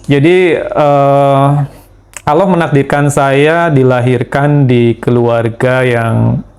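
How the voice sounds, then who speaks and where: A middle-aged man from Indonesia, an interview guest telling his life story in a measured way, with frequent pauses and fillers. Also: medium pitch, fairly even intonation, darkish tone. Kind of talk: conversational testimony.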